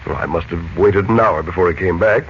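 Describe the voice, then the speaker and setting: An American actor playing a calm, confident middle-aged mystic hero and kindly uncle in a 1940s radio serial, speaking in a measured, reassuring way. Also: male voice, sits low, gravelly. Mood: pensive, explaining. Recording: muffled, noisy late-1940s radio recording.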